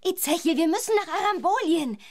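A woman's voice: very high-pitched, expressive, brisk. A woman playing a determined, spirited young girl adventurer in a children's audio drama, earnest and urgent.

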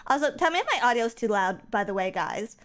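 A woman streaming a game in casual chat, a bit stuffy from a cold, going from low mumbles to loud excited yelling. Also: brisk. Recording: game music behind.

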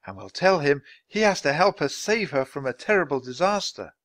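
An eager, impatient young girl, acted by a man in a children's audiobook, pitched well up and swooping with excitement. She is bold and pushy, and the sound is dry and close. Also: normal speed, no room sound. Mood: excited.

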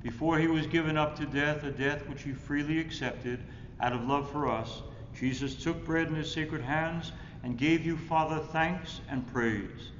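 Higher-voiced older priest in an echoey church. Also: male voice. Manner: solemn, measured phrasing. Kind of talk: liturgical prayer recitation. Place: indoors in a church, at the altar. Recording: echoey church reverb, muffled, rumbling noise.

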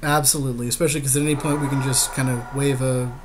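A man's voice: mid-range voice, flat delivery, quick pace. A man co-hosting a podcast, chatting casually and agreeably as he advises on a card game. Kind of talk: casual chat. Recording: clear background noise.

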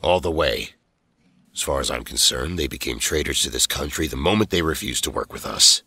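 A man's voice: very deep voice, animated, fairly quick, pushed and bright, breathy edge, dry recording, exaggerated voice. A man playing a cold, commanding president in an audio drama, clipped and authoritative. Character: cold, commanding male president ordering arrests.

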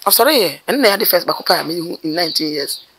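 Middle-aged Ghanaian woman, mortuary worker; rapid, animated storyteller, recorded outdoors on camera. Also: lowish voice, slight background noise, rough recording. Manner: animated, gesturing with her hands, rapid bursts of speech. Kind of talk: storytelling interview. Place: outdoors by a building wall.

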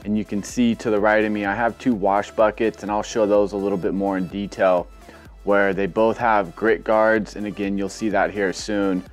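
Brief: lower-voiced guy, laid-back how-to vlog narration, faint music under. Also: moderate pace. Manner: relaxed, rambling, filler-heavy explaining. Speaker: car detailing vlogger. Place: outdoor car wash bay.

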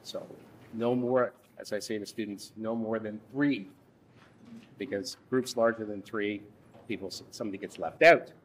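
A man in his thirties leading a workshop from a lectern in a conference room, speaking haltingly with frequent restarts. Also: lowish voice, fairly even intonation, a little hiss or hum, rough recording. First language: English. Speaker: workshop instructor. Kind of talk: workshop presentation.

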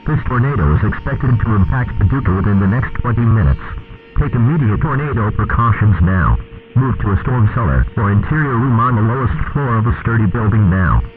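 A man's synthetic voice delivers an emergency weather bulletin. The reading is formal, measured and impersonal, with a narrow, phone-like broadcast sound. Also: lowish voice. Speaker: emergency alert announcer. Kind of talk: scripted weather warning bulletin.

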